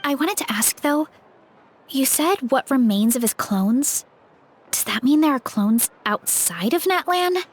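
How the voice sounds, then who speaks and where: High-voiced, eager young game heroine. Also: female voice, lively intonation, unhurried, breathy edge, heavily acted. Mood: earnest. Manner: eager, friendly. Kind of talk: video game character acting.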